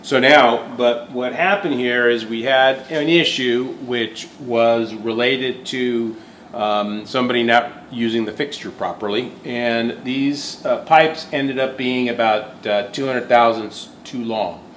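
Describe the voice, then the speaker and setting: Man in his fifties, shop owner, candid and halting, workshop echo. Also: medium pitch, fairly even intonation, fairly bright tone. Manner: candid, thinking aloud with fillers and restarts. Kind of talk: casual on-camera explanation. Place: indoor workshop beside a welding machine. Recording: echoey workshop, faint background hum.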